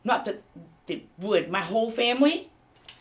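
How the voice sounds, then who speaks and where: A woman's voice: medium pitch, animated, moderate pace, close to an everyday voice. A woman playing a jealous big bad wolf actor who complains sardonically in a comedy mock interview. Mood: bitter, sardonic.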